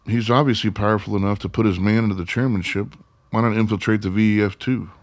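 A man's voice: lowish voice, steady tone, fast talker, no room sound. A man playing a tense, skeptical grown son in a radio play, talking in a blunt, argumentative, evasive way. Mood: probing.

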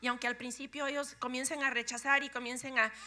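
Bright-voiced Guatemalan woman at church. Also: sits high, quick pace. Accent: Guatemalan Spanish. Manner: lively and joking, laughs often. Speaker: guest speaker, pastor's wife. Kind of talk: church talk to a congregation.

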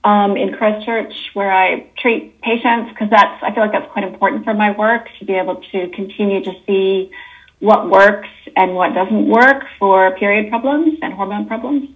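Canadian woman in her fifties, podcast guest, chatty, fixes her sentences as she goes, middle pitch. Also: fairly even intonation, moderate pace, phone-quality sound. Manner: chatty, with self-corrections and soft laughs. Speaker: guest, naturopathic doctor. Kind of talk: casual interview talk.

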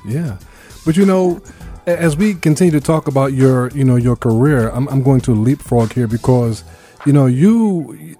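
A man's voice: medium pitch, mellow. A man from Brooklyn with an American accent, hosting a conversational interview in an encouraging, complimentary way. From Brooklyn, New York. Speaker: show host interviewing a guest.

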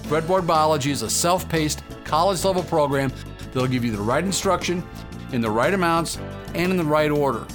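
A man's voice: on the high side, fairly quick. A man in his fifties, a guitar teacher, reading a scripted ad in a confident, persuasive way. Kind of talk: scripted ad read.

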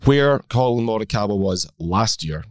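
A man with a slightly croaky voice, co-hosting a golf chat and reeling off stats in a measured way. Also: on the low side, animated, no room sound, studio quality. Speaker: podcast co-host.

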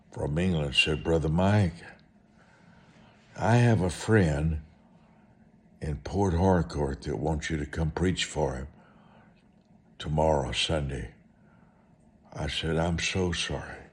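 Male American preacher, low and unhurried, for motivational storytelling sermons; emphatic delivery built on short repeated phrases.

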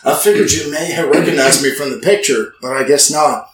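A man's voice: fairly high pitch, fairly quick, fairly bright tone. Man in a noisy, echoey room. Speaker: podcast host. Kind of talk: casual comic read-aloud of joke text replies. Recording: echoey, distant mic, clear background noise.

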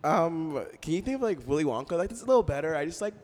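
A nervous, imaginative youngster, voiced by a man in a radio play parody. The pitch is high for a male, and the delivery is casual and hesitant, peppered with fillers. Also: sing-song, quick pace, a little mellow, a little hiss or hum. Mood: nervous, dreamy.

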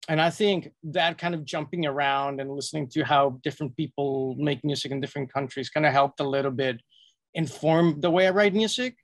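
A man speaks on a composers' panel. His voice sits a bit high for a man and sounds close and dry, and he reflects on his work with light amusement. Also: fairly quick, no echo. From Mexico. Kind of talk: casual panel talk.

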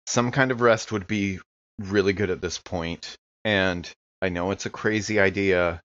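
A man with a fairly low voice role-plays in a tabletop game podcast. He sounds earnest and deliberate. The recording is dry and a little dull. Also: steady tone, a bit bright, dry recording. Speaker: player in a role-playing podcast. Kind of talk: improvised in-character chat at a game.

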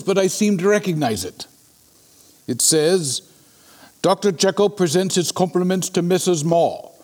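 A man playing a sober, sceptical Victorian gentleman in an audio drama, with a measured, formal, questioning manner. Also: a little high. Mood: reading aloud. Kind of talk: dramatic audio play acting.

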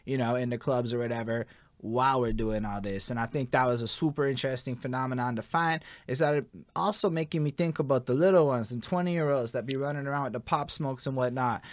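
Young man, podcast host, casual slangy storyteller, dry studio sound, mid pitch. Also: fast talker, dry recording. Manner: laid-back, slangy, storytelling with lots of "like". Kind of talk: casual interview chat.

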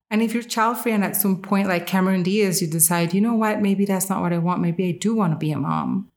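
Female podcast host with a fairly low voice, delivering frank, emphatic opinion commentary in casual speech. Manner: emphatic, candid, with casual swearing.

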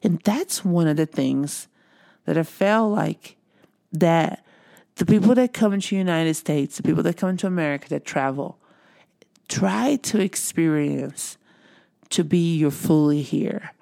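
Slow, gravelly Brazilian woman encouraging viewers. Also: low-pitched, warm tone. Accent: Brazilian Portuguese. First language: Portuguese. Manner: earnest, repeats phrases for emphasis. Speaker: motivational speaker.

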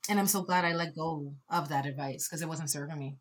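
A woman in her thirties speaking as a podcast guest in casual conversation, expressive and shifting between soft reflection and loud laughing energy. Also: sits low, brisk, a little hiss or hum. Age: 30s.

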